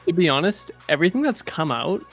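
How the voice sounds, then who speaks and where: A man's voice: a little high, sing-song, fast, vocal fry. A man, a music producer, chatting casually on a livestream, talkative and loose, saying "dude" and "like" often. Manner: chatty, says "dude" and "like" a lot.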